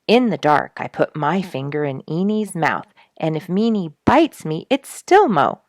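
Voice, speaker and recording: A woman voicing an earnest, amused young man in a storybook, explaining things in a deliberate, emphatic way. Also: sits low, sing-song, no room sound. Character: earnest, amused young man who keeps pet squirrels.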